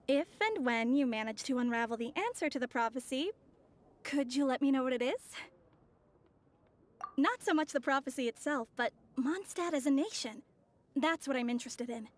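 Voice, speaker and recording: A woman voicing a polite, composed young female traveler in a video game, courteous, measured and gently inquisitive. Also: sits high, close to an everyday voice.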